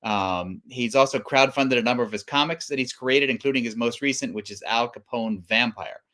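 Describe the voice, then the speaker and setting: A man hosts a live comics stream as a guest presenter. His voice sits at an average male pitch, and he talks casually with wry, self-deprecating humor. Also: moderate pace, bright, forceful tone. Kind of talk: casual live-show hosting.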